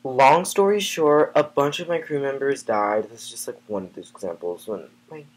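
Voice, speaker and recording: A man's voice: mid-range voice, normal speed, natural voice, poor audio. A man as an arrogant, self-adoring ancient hero vlogging to fans, casual and smug, filler-heavy chatter. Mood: chatty. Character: vain boastful male Greek hero turned vlogger. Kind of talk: comedic parody vlog.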